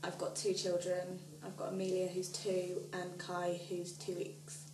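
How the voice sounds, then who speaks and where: A woman's voice: on the low side, completely flat delivery, measured pace, background noise. A nineteen-year-old woman, a young mother, telling her story in a matter-of-fact way. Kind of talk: personal testimony. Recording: roomy, distant, music behind.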